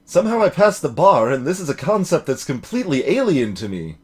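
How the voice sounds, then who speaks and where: Man playing a flustered, animated rookie lawyer. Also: a little high, brisk, husky. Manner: stammering, flustered. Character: nervous, bewildered rookie male defence lawyer.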